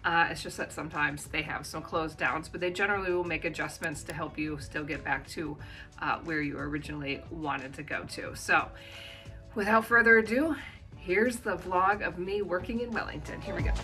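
Chatty American woman with a low voice. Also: steady tone, normal speed, bright, forceful tone, faint music behind. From United States. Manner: chatty, laughs often, fillers like um and uh. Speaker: vlogger. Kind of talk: casual vlog narration. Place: small bright room indoors, then walking outdoors in the city.

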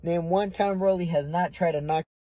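Young boxer, brash, mellow voice a touch high, casual phone-livestream chat. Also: male voice, steady tone, fairly quick, no room sound. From United States. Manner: brash, repeats himself, swears freely. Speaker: boxer on a social media livestream. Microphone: phone held close.

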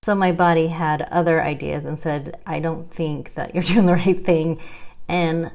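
Chatty woman with a lowish voice. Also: steady tone, unhurried, gravelly, a little mellow. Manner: chatty, wry and self-deprecating, laughs often. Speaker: vlogger sharing her health journey. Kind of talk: casual talking-head vlog. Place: indoors at home, small room.

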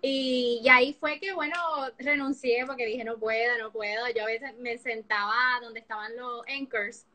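A woman in her thirties from Puerto Rico with a Puerto Rican Spanish accent, a singer and actress chatting bubbly and animated as a guest on a video-call interview from her living room. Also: high voice. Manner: bubbly, laughs often, animated storytelling. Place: living room with couch, indoors. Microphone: laptop or phone camera on video call.

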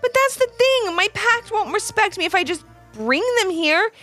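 A squeaky-high, earnest young monkey lad from a children's storybook, played by a woman. He sounds eager to please and a little anxious, pitched far above an adult voice, with music underneath. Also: sing-song, normal speed, pushed and bright, full character voice. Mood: sad, earnest.

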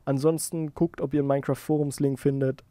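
A man giving a casual software tutorial, informally thinking aloud as he goes. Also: mid-range voice, flat delivery, quick pace, no room sound. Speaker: tutorial host.

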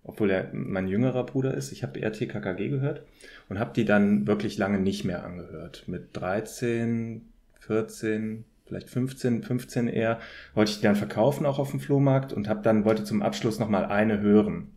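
Low-voiced man chatting casually. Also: normal speed, dark tone. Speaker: podcast guest. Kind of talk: casual conversational podcast talk.

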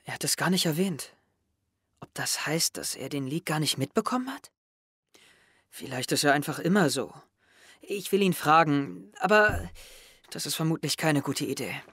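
A man's voice: fairly high pitch, animated, normal speed, long pauses, fairly bright tone, slightly rough, no echo, clean studio sound. A young man playing an introspective, wry teenage schoolboy who narrates his thoughts in an audio drama. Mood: doubtful. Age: young adult. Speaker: actor narrating as the main character. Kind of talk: audio drama inner monologue.

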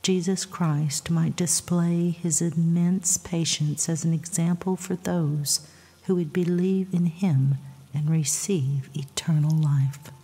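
A woman softly narrating a scripted guided sleep meditation with scripture readings, in a hushed, breathy, soothing and measured voice. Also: low-pitched, fairly even intonation. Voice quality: soft, breathy, husky. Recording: long reverb, soft music behind.

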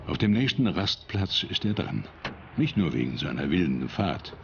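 A man narrating a television documentary in a calm, measured voice-over. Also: deep voice, vocal fry, lo-fi sound, noisy background. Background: music.

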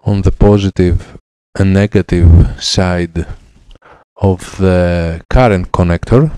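Halting Greek-accented man, low voice. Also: steady tone, warm tone, smooth, dry recording. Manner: hesitant, many uh fillers, halting phrases. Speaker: repair technician. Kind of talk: hands-on repair tutorial. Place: electronics repair lab, indoors. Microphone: headset mic.

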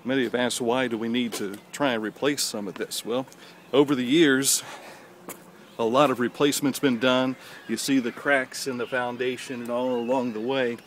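A man, chatting through a handheld walking tour of an outdoor high school stadium, folksy and proud of his hometown. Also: little pitch movement, normal speed, slight background noise. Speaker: local stadium tour guide. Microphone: handheld camera at arm's length.

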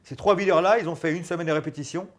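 Mec la quarantaine qui parle en s'animant, voix un peu aiguë, micro-cravate dans un petit salon. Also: male voice, on the high side, quick pace. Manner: animated, gestures a lot while talking. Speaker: guest, former fighter turned actor and stuntman. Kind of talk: casual interview chat. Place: small studio lounge with sofa and bookshelves. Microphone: lapel mic.

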